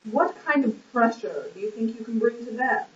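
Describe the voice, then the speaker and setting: A woman's voice: a little high, moderate pace, close to an everyday voice. A woman playing a blunt, indignant, argumentative nobleman in an amateur puppet-show stage play. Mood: stubborn. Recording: echoey, muffled, distant lo-fi recording.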